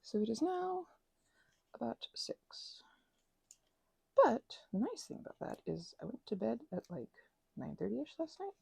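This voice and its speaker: A woman chats softly in a playful put-on Scottish accent, sliding between a low murmur and breathy whispers. Her voice is mellow and dark, with a gravelly edge of vocal fry. Her tone swings up and down as she rambles, teasing herself along the way. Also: big pitch swings, unhurried, long pauses, dry recording. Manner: drifts between soft talk and whispers, chatty and self-deprecating. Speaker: ASMR crafter. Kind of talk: rambling soft-spoken ASMR craft chat.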